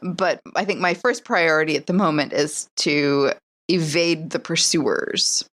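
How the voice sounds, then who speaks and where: A woman's voice: sits low, measured pace, fairly bright tone. Woman role-playing in casual chat. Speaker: player in a tabletop role-playing podcast. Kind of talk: casual in-character tabletop role-play.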